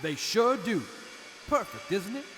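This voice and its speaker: Animated, high-voiced proud armorer played by a man. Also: unhurried, faint music behind, full character voice. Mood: salesman-like. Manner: salesman-like, flattering, assured. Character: proud, showy, confident master armorer and quartermaster. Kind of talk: post-apocalyptic audio drama acting. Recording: long hall reverb, faint background noise.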